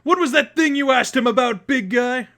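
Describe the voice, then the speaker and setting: Gleeful male clown supervillain, high-pitched, taunting, audiobook-style villainy. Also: normal speed, a bit bright.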